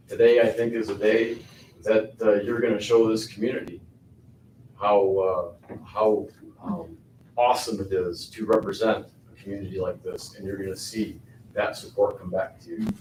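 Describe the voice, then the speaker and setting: Older guy, lowish voice, lots of ums, public comment in an echoey hall, far off mic, bit of hiss. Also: darkish tone. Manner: halting, many fillers and restarts. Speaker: public commenter at a county board meeting. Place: county board meeting room.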